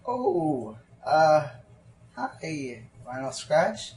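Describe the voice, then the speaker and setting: A man's voice: a little high, sing-song, very slow, darkish tone, exaggerated voice, rough recording. A man in a radio play voicing an awkward, hesitant, lovestruck young pony sound technician. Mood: embarrassed.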